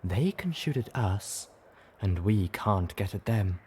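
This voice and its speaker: A man's voice: fairly low pitch, expressive, a little mellow, slight background noise. Man putting on a snarky, refined lady unicorn. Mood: uneasy. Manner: snarky, slow, theatrical. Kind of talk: audiobook character dialogue.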